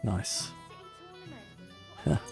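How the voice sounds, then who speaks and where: A man's voice: extremely slow, a little mellow, soft background music. A man giving casual playthrough commentary on a video game, thinking aloud and muttering to himself as he plays. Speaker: streamer playing a video game.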